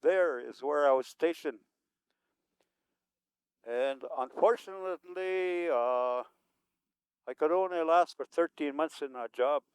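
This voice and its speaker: A man's voice: on the high side, slow, pauses often, clean tone, dry recording. A man in his seventies giving rambling, unscripted remarks at a community event, chuckling often as he talks. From Manitoba, Canada. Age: 70s.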